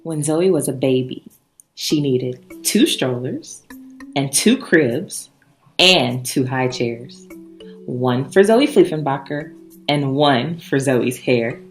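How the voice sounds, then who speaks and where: A woman reading a children's story aloud in an expressive, emphatic way, pausing for drama between short phrases. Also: rumbling low. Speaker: storybook reader. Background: background music.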